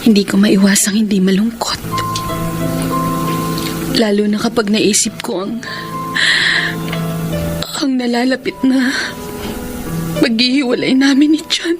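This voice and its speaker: A woman's voice: a little high, fairly even intonation, a little slow, long pauses, lightly acted. An actress playing a sorrowful, resigned woman in a radio drama, with a Filipino accent. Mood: sad, resigned. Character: sorrowful Filipina partner resigned to losing her man. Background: music.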